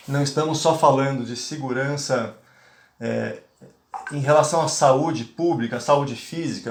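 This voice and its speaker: Man from Bahia, conflict mediator giving a talk; halting with restarts, echoey room sound. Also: on the high side. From Bahia, Brazil. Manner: hesitant, many fillers and restarts. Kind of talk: online lecture to teachers.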